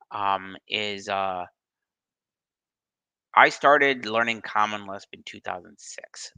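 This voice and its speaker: A man's voice: fairly low pitch, vocal fry, pushed and bright, clear, resonant tone, dry recording. A man on a video call answering questions in a casual live session, hesitant, with many ums and restarts. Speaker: study group presenter answering questions.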